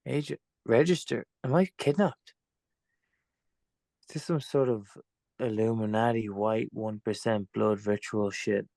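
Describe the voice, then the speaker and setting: Defiant young captive, played by a man: lowish, creaky, close dry sound, blunt indignant table-read drama. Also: a little slow, a little mellow, no room sound. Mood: indignant, confused. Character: angry, defiant, foul-mouthed captive young weapons developer.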